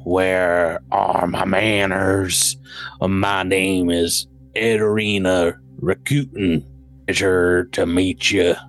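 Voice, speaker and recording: A man doing a gruff old rancher woman. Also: low-pitched, level tone, faint music behind. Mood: wary. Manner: folksy rural drawl, slow and measured.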